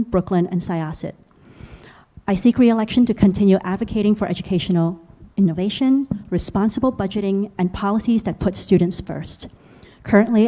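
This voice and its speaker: A woman's voice: medium pitch, dark tone. A woman, a school board member seeking re-election, reading a formal prepared statement at a public forum. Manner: formal, reads a prepared speech.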